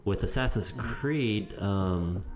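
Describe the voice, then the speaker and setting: A man's voice: fairly low pitch, slow, soft background music. A man co-hosting a casual podcast chat, rambling and restarting his sentences as he goes. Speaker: podcast co-host.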